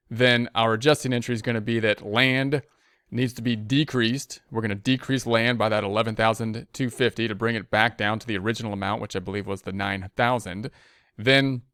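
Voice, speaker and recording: A man's voice: mid-range voice, a bit bright, clean tone, dry recording, studio quality. A man giving an informal tutorial as an instructor, talking conversationally and thinking aloud with rhetorical questions. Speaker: accounting instructor. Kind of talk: informal screen-share tutorial explanation.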